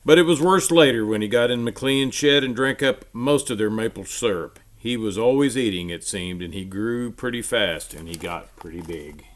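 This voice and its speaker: A man with a fairly low voice reads a storybook aloud to children. His delivery is expressive and emphatic, in the manner of a storyteller. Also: normal speed, few pauses.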